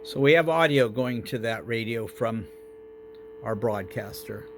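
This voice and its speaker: A man's voice: mid-range voice, unhurried, background noise. A man narrating a casual hands-on electronics demonstration as its host.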